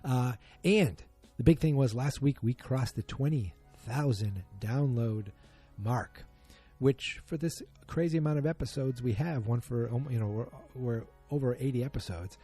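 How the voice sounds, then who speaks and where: A man's voice: mid-range voice, normal speed, few pauses, warm tone, slightly rough, faint music behind. A man hosting a solo podcast, chatting casually in a rambling, self-deprecating, joking way. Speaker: podcast host.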